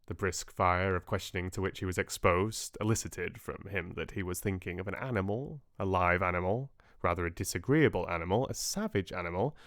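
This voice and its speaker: A man reading classic literature as an audiobook narrator, with expressive, dramatic storytelling. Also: low-pitched, brisk, hardly any pauses, no room sound, studio quality.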